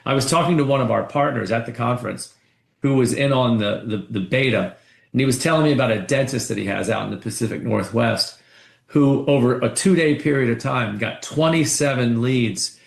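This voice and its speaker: A man speaking as a company executive on an earnings call, pitching products conversationally with frequent restarts and repeated words. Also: fairly quick, vocal fry, echoey room.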